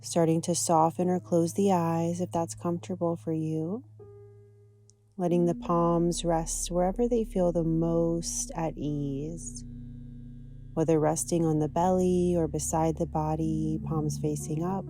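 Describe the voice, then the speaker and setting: A woman teaching yoga, guiding a practice in a gentle, soothing, unhurried manner. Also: lowish voice, steady tone, faint music behind. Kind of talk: guided yoga and meditation instruction.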